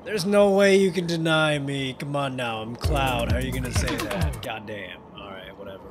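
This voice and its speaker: A man's voice: mid-range voice, moderate pace. A tired young man hosting a casual let's-play, profane and laughing, talking back at the game late at night. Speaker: gaming channel host. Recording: game music loud behind voice.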